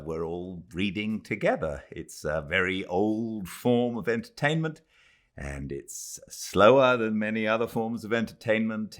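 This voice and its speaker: A man from Britain narrates a classic novel from beside his home fireplace, after a relaxed, chatty greeting to his listeners. His voice sits very low. He takes his time over each phrase. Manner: relaxed, chatty intro then measured literary reading. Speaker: audiobook narrator. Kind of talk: live fireside book reading.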